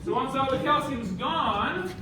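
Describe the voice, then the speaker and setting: A man's voice: very high voice, expressive, measured pace. An American man teaching as a university instructor, informal and theatrical, directing students and quizzing them with questions. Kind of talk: animated classroom lecture with questions and directions. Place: indoor university classroom during a live demonstration. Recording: echoey room, far mic, noisy.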